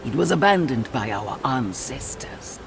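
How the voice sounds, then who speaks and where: A man's voice: medium pitch, lively intonation, unhurried, background noise. A man playing a stern, cautious temple elder in a video game, speaking formally and with foreboding. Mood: stern, refusing. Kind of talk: dramatic video game acting. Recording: clear music behind the voice.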